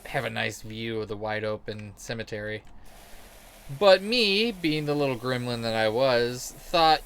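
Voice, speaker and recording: A man's voice: medium pitch, fairly bright tone, clear, resonant tone. A man in a casual, rambling game commentary, sweary, self-deprecating and sarcastic. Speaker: game streamer telling stories while playing.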